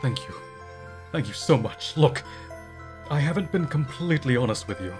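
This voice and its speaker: Male actor as undercover cop, sincere, shaken, swinging intonation, mid pitch, music behind. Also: expressive, normal speed, darkish tone, close to an everyday voice. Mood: grateful, remorseful. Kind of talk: audio drama acting.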